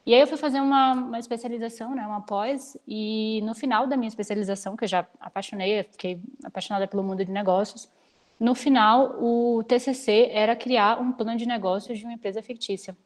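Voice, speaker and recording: A woman in her twenties from Salvador, a startup founder giving an energetic, practical guest talk over a video call. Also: a little high, fairly quick. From Salvador, Bahia, Brazil. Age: 20s. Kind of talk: online lecture with slides.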